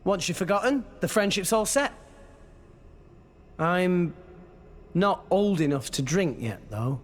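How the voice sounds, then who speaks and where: A man's voice: high voice, lively intonation, takes it slowly, heavily acted. An actor playing a blunt, earnest teenage salvager boy. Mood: determined. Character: brash young male salvager hero, teenage.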